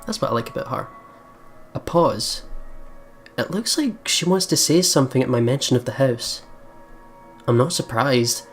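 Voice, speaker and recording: A let's play host talks casually through a visual novel, switching between reading narration and excitable commentary. The voice sits at a medium pitch, with faint game music behind it. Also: moderate pace, long pauses.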